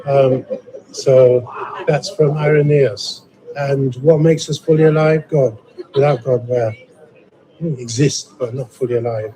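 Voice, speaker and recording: A man's voice: mid-range voice, fairly even intonation, measured pace, dark tone, poor audio. A man, a priest, chatting and cheerily greeting churchgoers with repeated short phrases. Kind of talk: greeting churchgoers, casual chat. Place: busy church after a service. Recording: busy crowd chatter behind.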